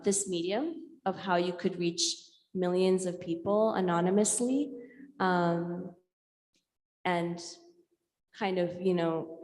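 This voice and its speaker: A woman from India, a native Urdu speaker, giving an informal artist talk in a reflective, hesitant way with frequent pauses and ums. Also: on the low side, darkish tone. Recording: echoey hall with long reverb.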